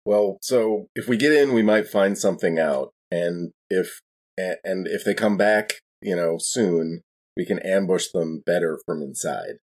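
A man's voice: low-pitched, a little slow, a little mellow. A man, chatting casually as a player in a tabletop role-playing game.

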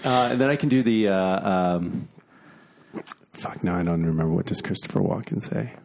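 A man's voice: sits low, moderate pace, vocal fry, a little mellow, a little hiss or hum. A man and former actor chatting casually, rambling and restarting his sentences often. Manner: rambling, self-interrupting, frequent restarts. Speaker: podcast guest and former actor. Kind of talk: casual chat.

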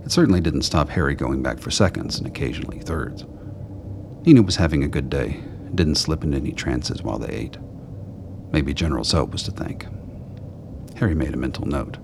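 Male narrator for radio drama, extremely deep voice, scripted storytelling, faintly noisy track.

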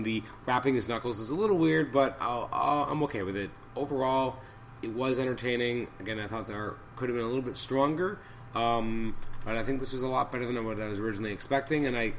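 A man hosting a podcast of off-the-cuff reviews, his voice a little hoarse and raspy from a cold, thinking aloud in a halting way. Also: mid-range voice, slight background noise. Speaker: podcast host. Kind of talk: unscripted comic book review commentary.

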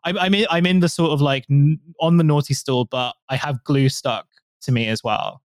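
A man's voice: a little high, normal speed, no echo. A man hosting a podcast in a casual, rambling chat, full of fillers and restarts. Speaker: podcast host.

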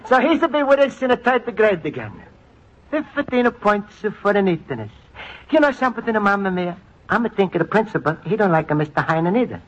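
A man's voice: high voice, very animated, moderate pace. An actor playing a naive, earnest Italian immigrant man with an Italian accent and broken English, in a 1950s radio comedy. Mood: fond. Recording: dull old radio broadcast.

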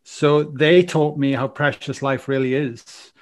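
A Welsh man in his forties talks through his life story as a podcast guest. His voice sits at an ordinary middle pitch, and he rambles along, restarting phrases as he goes. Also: measured pace. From Wales. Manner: animated, rambling, many restarts. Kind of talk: casual storytelling interview.

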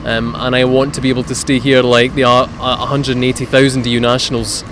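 A man's voice: medium pitch, flat delivery, a little fast, background noise. A man who grew up in Scotland, giving earnest political answers as a candidate in an interview. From born in Italy, raised in Scotland from age seven. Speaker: parliamentary candidate.

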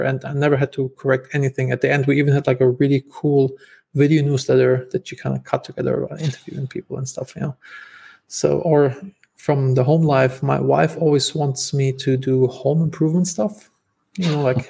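A man's voice: mid-range voice, creaky, dark tone, slightly rough. A man with a German accent, a guest on a podcast, telling stories casually with lots of fillers and restarts. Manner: talkative, many fillers and restarts. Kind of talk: casual interview storytelling.

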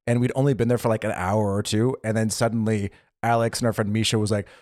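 A young man co-hosting a casual chat podcast, telling animated stories and acting out what others said. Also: fairly low pitch, fast, no room sound, clean studio sound. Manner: animated storyteller, acts out quotes. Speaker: podcast co-host. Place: living in Germany.

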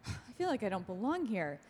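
Fretful housewife for radio drama, soft-edged mellow voice with lively pitch, reverberant sound. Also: female voice, normal speed. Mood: restless, worried. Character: anxious, gentle housewife and mother. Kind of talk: radio play acting. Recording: long reverb, music behind.

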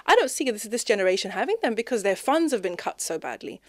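A woman who grew up in Zimbabwe, speaking with a British English accent as a guest in a casual, reflective interview, animated and quick to laugh. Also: mid-range voice, no echo. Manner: animated, lively, with frequent restarts and warm laughs.

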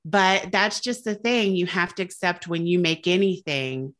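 A woman's voice: on the low side, expressive, moderate pace, a bit bright. A woman in her thirties from the Southern United States, a guest author speaking earnestly and reflectively in a casual interview. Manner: reflective, earnest, laughs lightly.

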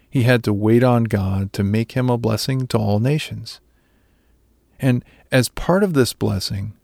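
A man delivering a scripted sermon reflection in a measured, earnest way. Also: lowish voice, creaky, a little mellow, no room sound, studio quality. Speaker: devotional speaker.

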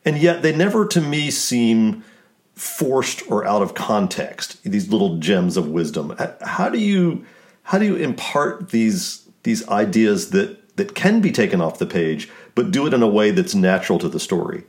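A man's voice: on the low side, very animated, normal speed. A man interviewing an author, asking long, enthusiastic questions about the book.

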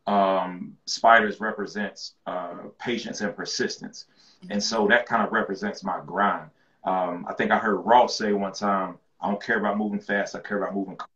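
Man from with a low, deep voice, relaxed slangy guest; muffled lo-fi sound in an echoey room. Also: normal speed. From rural North Carolina. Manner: laid-back, slangy, repeats words as he restarts. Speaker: guest rapper. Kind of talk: casual interview chat.

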